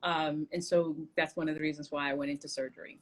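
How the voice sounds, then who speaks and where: Low-voiced woman trauma surgeon. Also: steady tone, fast talker. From Somalia. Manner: earnest, reflective storyteller. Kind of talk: casual conversational interview.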